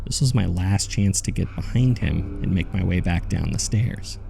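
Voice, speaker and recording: Low, gravelly haunted narrator. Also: male voice, over music. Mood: tense, grave. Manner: measured, grave storytelling. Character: haunted male narrator recounting his own horror ordeal. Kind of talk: scripted first-person horror narration.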